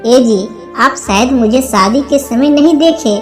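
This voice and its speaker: A woman's voice: high-pitched, measured pace, faint music behind. A woman playing an earnest, affectionate and plaintive female bird in a cartoon story. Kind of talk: Hindi cartoon story dialogue.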